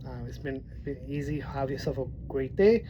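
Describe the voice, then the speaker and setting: A man's voice: medium pitch, moderate pace, warm tone, lo-fi sound, slight background noise. A man from Miami with a mild speech impediment, chatting casually in a poetry vlog with plenty of restarts and fillers. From Miami, Florida. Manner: rambling, with many restarts and fillers. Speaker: poetry vlogger.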